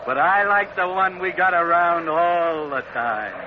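An American actor playing a good-natured, joshing first mate in a 1940s radio adventure, folksy and easygoing. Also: male voice, high voice, measured pace. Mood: jovial. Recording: muffled, noisy old radio recording.

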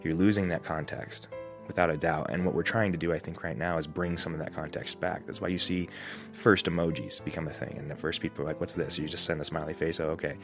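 A man's voice: extremely low pitch, fairly even intonation, fast talker, over music. A man hosting a solo podcast, getting over a cold, thinking aloud in a rambling, reflective way with many restarts. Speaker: podcast host.

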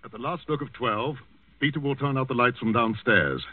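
An actor playing a commanding doctor who coaches resistance plotters, urgent and instructing, in a 1940s radio drama. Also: male voice, mid-range voice, animated, a little fast, no echo, natural voice. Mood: urgent, directing. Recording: lo-fi, distant old radio recording.

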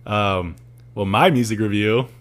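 A man's voice: on the low side, a little slow, a bit bright. A young man co-hosting a podcast, chatting casually, teasing and laughing often. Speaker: podcast co-host. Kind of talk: casual chat.

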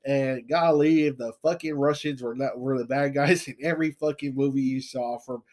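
A man's voice: medium pitch, fairly even intonation, moderate pace, darkish tone. Stuffy-nosed man co-hosting a podcast. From South Texas. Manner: laid-back, swears and laughs often. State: stuffy from allergies. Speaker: podcast co-host. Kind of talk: casual chat.

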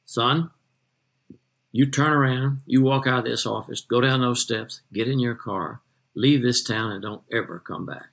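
An older American man from Texas telling detailed anecdotes as an interview guest, in a measured raconteur's manner. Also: medium pitch, creaky, fairly bright tone. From Texas, United States. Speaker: interview guest, retired magazine journalist.

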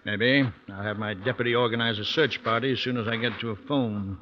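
A man's voice: medium pitch, steady tone, brisk, a little hiss or hum, close to an everyday voice. Man playing small-town sheriff, American, skeptical, plain-spoken, mid-range voice, classic radio drama acting, lo-fi sound. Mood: probing, casual. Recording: dull lo-fi vintage radio recording.

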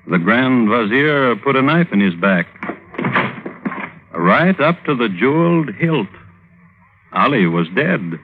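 An actor playing a droll crime historian, narrating as host in a wry, deadpan 1950s radio style. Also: male voice, low voice, slight background noise. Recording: phone-like old radio, music behind.

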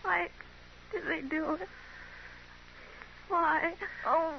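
Dying young dance hall girl: high, gasping, extremely slow, muffled vintage radio. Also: fairly even intonation, gravelly, full character voice. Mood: pained, pleading. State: weak, gasping in pain. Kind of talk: 1950s radio Western acting. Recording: muffled, hissy 1950s radio recording.

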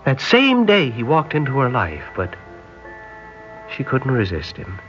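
Polite young Irish seaman, animated delivery. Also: mid-range voice, unhurried. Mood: wistful. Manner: polite, hesitant, formal British phrasing. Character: nineteen-year-old Northern Irish seaman, polite, wistful, boyish. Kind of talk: old radio drama acting. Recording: dull old radio recording, music behind.

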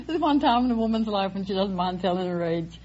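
A playful woman in her eighties from Philadelphia, chatting casually as a contestant. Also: mid-range voice. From Philadelphia, United States. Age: 80s. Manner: playful, chuckling. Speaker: contestant, former stage and film actress. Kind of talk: casual interview chat. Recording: muffled vintage broadcast, audience, faint noise.

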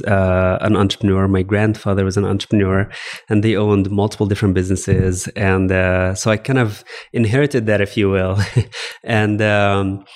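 Low flat-voiced man, chuckling often. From Egypt. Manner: laughs often, fillers like "uh". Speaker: startup founder, guest. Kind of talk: casual interview talk. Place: office coworking space.

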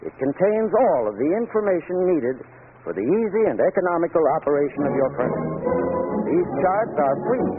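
A hissy, narrow old radio recording of a man with a fairly high voice. He delivers a scripted 1940s sponsor message formally and instructively, with lively intonation. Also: brisk, faint music behind. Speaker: sponsor's heating expert giving a commercial.

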